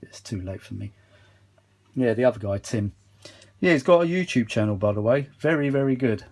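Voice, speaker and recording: A man's voice: mid-range voice, animated, normal speed, a little mellow. A man giving a casual, unscripted workbench update to camera, rambling and thinking aloud with some mild swearing. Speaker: vintage audio hobbyist filming a repair update. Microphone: phone camera held while filming.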